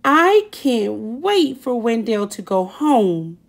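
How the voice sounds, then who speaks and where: A woman voicing a quietly fed-up young girl in a storybook, plaintive and understated. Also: on the high side, very animated, slow, heavily acted.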